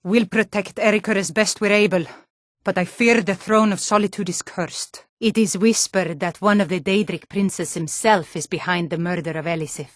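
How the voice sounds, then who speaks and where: A woman's voice: medium pitch, moderate pace, no echo, clean studio sound, lightly acted. A woman voice actor playing a tough, wary female Nord city guard in a fantasy video game, with blunt, colloquial delivery. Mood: grave. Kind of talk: scripted fantasy game dialogue in short standalone lines.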